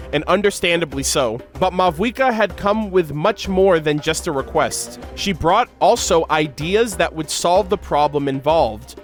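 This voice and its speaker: A man with a notably high voice reads game lore aloud as a reaction host. His delivery is expressive and moves between narration and casual, slangy commentary. Music plays behind him.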